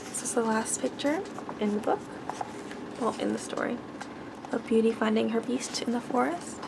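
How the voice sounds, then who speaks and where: A soft-spoken woman reading a bedtime story in ASMR style, stumbling now and then over the words. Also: a little high, moderate pace, vocal fry, heavy background noise.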